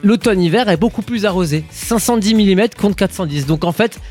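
High-voiced chatty man on radio. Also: a little fast, darkish tone, faint music behind. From Alsace, France. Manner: chatty, explains figures with asides. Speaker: radio show co-host. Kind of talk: casual morning radio chat.

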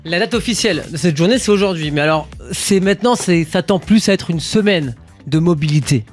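A man's voice: high-pitched, expressive, brisk, faint music behind. A man co-hosting a morning radio show, chatting casually and explaining figures with asides. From Alsace, France.

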